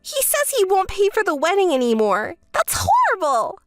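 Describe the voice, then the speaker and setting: A woman's voice: wildly swooping pitch, moderate pace, no room sound, clean studio sound, soft background music, heavily acted. A woman voicing a selfish, whiny young woman who begs and complains to her sister in a story. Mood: complaining. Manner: shrill, begging, self-pitying. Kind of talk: storytelling with acted dialogue.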